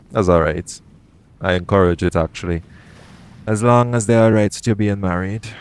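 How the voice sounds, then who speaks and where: Casting: male actor as roguish, guarded pirate captain, deep voice, measured intimate delivery for audio roleplay, noisy ambient backdrop. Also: gravelly, darkish tone. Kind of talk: intimate audio roleplay acting addressed to the listener.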